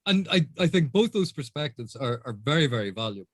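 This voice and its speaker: Male host, mid pitch, dry sound, chatting but deliberate and emphatic. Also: sing-song, no echo. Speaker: host of book discussion channel. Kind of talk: casual moderated discussion.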